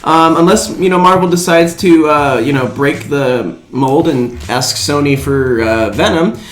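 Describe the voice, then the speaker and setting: A man co-hosting a casual movie news podcast, speaking haltingly and often trailing off. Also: on the high side. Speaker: podcast co-host. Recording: echoey room with music bed.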